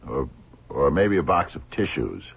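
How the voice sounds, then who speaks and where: An actor playing a shrewd, dogged blind investigator in a radio drama, gravelly and husky, probing with hesitant, murmured questions. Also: male voice, very deep voice, animated, normal speed, exaggerated voice. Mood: musing, intent. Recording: thin, phone-like old radio recording.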